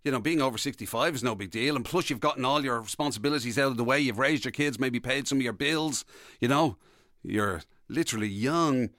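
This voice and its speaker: A man's voice: medium pitch, brisk, a bit bright, no room sound, clean studio sound. A man with an Irish accent co-hosting radio in casual comic chat, musing and thinking aloud with hesitant phrasing. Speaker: radio show co-host.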